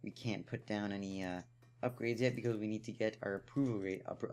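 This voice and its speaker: A man's voice: on the low side, fairly even intonation, fairly quick, a little mellow. Young man rambling through gameplay. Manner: rambling, thinking aloud with fillers. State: slightly hoarse. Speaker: gameplay commentator. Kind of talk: casual let's play commentary.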